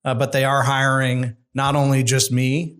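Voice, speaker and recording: A middle-aged man speaks as a podcast guest, calm and measured, with a candid and thoughtful way of putting things. His voice sits at an ordinary middle pitch for a man. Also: clean tone. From United States. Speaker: construction executive guest. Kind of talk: conversational interview.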